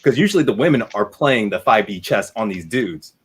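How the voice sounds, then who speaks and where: Man in his thirties, low earnest voice. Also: expressive, fairly quick, husky. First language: Vietnamese. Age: 30s. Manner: earnest and reflective, hedging with "like". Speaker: guest. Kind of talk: casual discussion.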